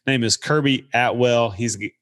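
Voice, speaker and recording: A man's voice: mid-range voice, moderate pace, fairly bright tone. A man hosting a real estate podcast in casual chat, sounding nasal from sinus trouble, upbeat and chatty. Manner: upbeat, chatty, some tongue slips. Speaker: podcast host.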